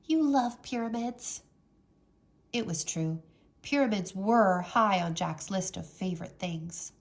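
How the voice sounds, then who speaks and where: A woman reading a children's storybook aloud as a teacher, with expressive, dramatic narration. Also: lowish voice, unhurried, gravelly.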